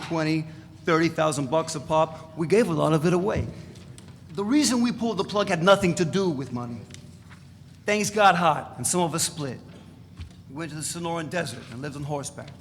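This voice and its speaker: A man voicing a sardonic, boastful former radical who spins wild tales with a gravelly edge. He sounds slightly high-pitched for a man. The reading rings out in a large reverberant hall over a faint room hum. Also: close to an everyday voice. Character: brash older male ex-radical storyteller. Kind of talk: dramatic reading. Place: large echoey event space.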